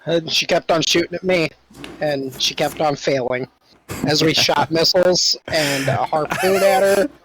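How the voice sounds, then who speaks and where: A man's voice: a little high, unhurried, a bit bright, rough recording. A man chatting casually and in an easygoing way as a player in an online tabletop game. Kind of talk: casual chat. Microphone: online voice chat. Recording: background noise with clicks.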